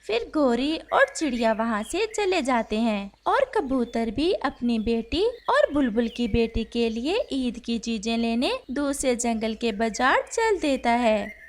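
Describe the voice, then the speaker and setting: A woman reads a scripted children's story as narrator. Her voice is high and animated, rising and falling widely through each sentence.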